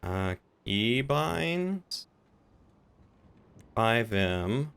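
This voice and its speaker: A man's voice: mid-range voice, very animated, very slow, vocal fry, fairly bright tone, clear, resonant tone. A man chatting casually as he streams a game, often muttering half to himself.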